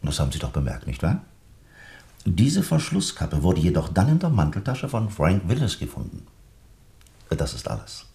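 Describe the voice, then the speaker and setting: An actor in a German radio play playing a formal, insistent interrogator at a murder trial, with pointed, clipped questioning. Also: male voice, sits low, wildly swooping pitch, moderate pace, vocal fry, pauses often, mellow, exaggerated voice.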